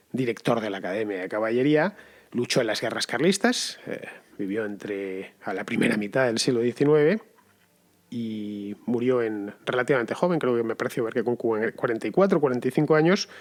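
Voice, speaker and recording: Male podcast host, casual and unhurried, fills pauses with "eh". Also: medium pitch. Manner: relaxed and conversational, pauses with fillers. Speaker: podcast host answering listeners. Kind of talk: casual spoken commentary.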